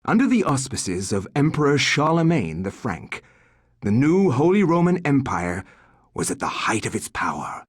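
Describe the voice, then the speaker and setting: Game narrator: grave scholar, husky, dry sound, animated formal delivery. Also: male voice, mid-range voice, normal speed, dry recording. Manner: formal, dramatic. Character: grave male scholar narrating dark research.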